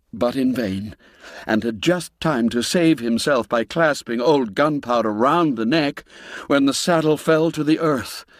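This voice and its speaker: A man narrating an audiobook as dramatic storytelling, with measured, deliberate, suspenseful phrasing. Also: medium pitch, dry recording, clean studio sound. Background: music under the voice.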